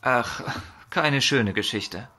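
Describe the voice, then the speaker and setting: A man's voice: mid-range voice, takes it slowly, pushed and bright, slight background noise. An actor playing a reticent man with a troubled past in a fantasy audio drama, hesitant and evasive. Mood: reluctant.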